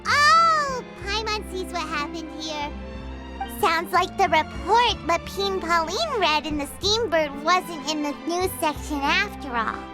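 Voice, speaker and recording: A woman voicing a small, excitable, outspoken fairy companion in a video game, with a squeaky childlike voice and an emphatic manner. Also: takes it slowly, full character voice. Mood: dismayed realization. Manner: speaks of self in third person, emphatic. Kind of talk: video game character dialogue. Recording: loud music under the voice. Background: game background music.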